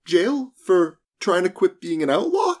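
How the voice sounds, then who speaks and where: A man's voice: sits high, big pitch swings, a little slow, voice pushed up, full character voice. A man voicing a disgruntled, sardonic male office outlaw in an audiobook, grumbling and exasperated. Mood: incredulous. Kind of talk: audiobook character dialogue.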